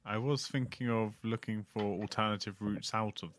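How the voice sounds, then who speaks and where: A man's voice: on the low side, steady tone, moderate pace, slight background noise. A man chatting casually as a player in a tabletop role-playing game.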